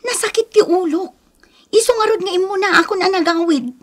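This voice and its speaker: High-voiced, discontented young Ilocano wife. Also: female voice, brisk. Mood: pleading. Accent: Filipino, Ilocano. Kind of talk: radio drama acting.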